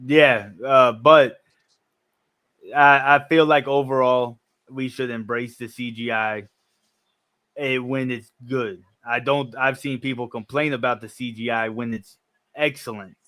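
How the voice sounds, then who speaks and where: A man in his early thirties with a smooth, resonant voice hosts a laid-back conversation. He sounds easygoing and agreeable, restarting his sentences as he works through his thoughts, at an everyday middle pitch. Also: a little slow. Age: 30s. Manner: relaxed, agreeable, thinks aloud with restarts. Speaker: podcast host. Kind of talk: casual chat.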